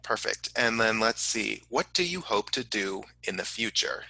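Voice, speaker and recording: A man hosting a casual live question-and-answer program, speaking haltingly as he relays audience questions. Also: fairly low pitch, lively intonation, creaky, bright, forceful tone, no room sound, low-quality recording. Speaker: host of a science museum online program.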